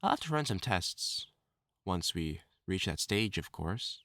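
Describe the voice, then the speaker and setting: Guy doing a lovesick villain for audio roleplay, low sharp voice, desperate n menacing, super dry close mic. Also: very animated, measured pace, no room sound, exaggerated voice. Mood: resigned, menacing tenderness. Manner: pleading, stammering, theatrical endearments. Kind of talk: dramatic audio roleplay, intimate monologue to listener.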